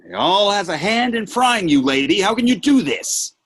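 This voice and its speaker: A man playing Satan as a mocking, brash, theatrical devil with a rough voice in a play read-through. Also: high-pitched, big pitch swings, moderate pace, fairly bright tone. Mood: outraged taunting. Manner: sneering, taunting, swings between mutter and outburst. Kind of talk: acting in a virtual table read.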